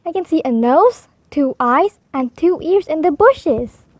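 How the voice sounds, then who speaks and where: An excitable big hen from a children's storybook, acted out by a woman. She exclaims in alarm at a very high pitch that swoops widely, in dramatic, unhurried phrases. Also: dry recording. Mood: alarmed, insistent. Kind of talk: children's storybook read-aloud.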